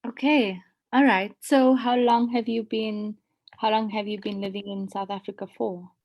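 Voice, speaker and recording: Woman magazine interviewer, polite, halting questions padded with fillers. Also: mid-range voice, steady tone, highs cut off. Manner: polite, hesitant questions with "you know" and "um".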